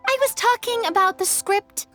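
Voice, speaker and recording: A woman's voice: moderate pace, sharp tone, soft background music. A woman voicing a small, curious, chatty fairy companion in an anime game, with a childlike voice. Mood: musing. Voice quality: high, childlike. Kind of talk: English anime game voice acting.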